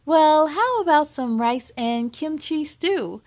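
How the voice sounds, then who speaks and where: A woman voicing a gentle mother in a children's storybook, patient and soothing with her young daughter. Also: high voice, lively intonation, slow, no echo. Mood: caring. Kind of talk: storybook character voice.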